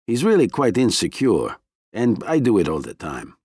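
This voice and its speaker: A man's voice: fairly low pitch, huge pitch swings, moderate pace, a little mellow, slightly rough, no room sound, studio quality, full character voice. A man voicing a shrewd, frank married woman with a confiding, teasing manner in an audiobook. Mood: confiding, wry. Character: Maria, warm, shrewd, frank Russian wife and scientist.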